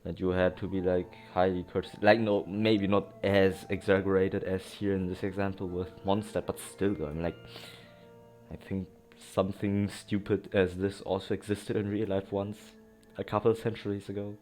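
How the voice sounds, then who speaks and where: A man's voice: deep voice, little pitch movement, normal speed, a little mellow. A man giving casual gameplay commentary as a let's player, reacting and repeating himself. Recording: game music behind the voice.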